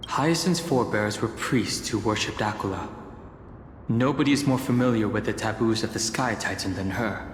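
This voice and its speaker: An actor playing a calm, analytical young traveler in a fantasy video game, speaking in a measured, contemplative way. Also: male voice, medium pitch, a little hiss or hum, lightly acted. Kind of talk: English-voiced fantasy game dialogue and monologue. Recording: echoey reverb, music underneath.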